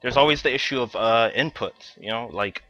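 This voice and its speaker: Bright-voiced man walking through software. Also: mid-range voice, fairly quick, lo-fi sound. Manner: loose, filler-heavy demo walkthrough, lots of "you know". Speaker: game developer presenting a livestream. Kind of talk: casual livestream chat.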